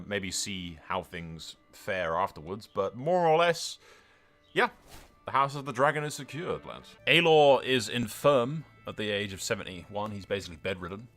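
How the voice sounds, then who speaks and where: Male gaming commentator, fairly low-pitched with a crisp bright edge, casual delivery and dry asides. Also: moderate pace, soft background music. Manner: informal, wry asides. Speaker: host of a gaming channel. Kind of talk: casual game playthrough commentary.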